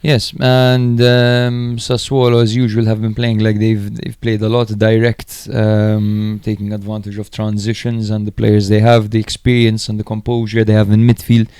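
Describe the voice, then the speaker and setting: A man's voice: lowish voice, completely flat delivery, normal speed, few pauses, warm tone, smooth, no echo. A man co-hosting a podcast, recapping and analysing football matches in an informal, chatty way. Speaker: podcast host.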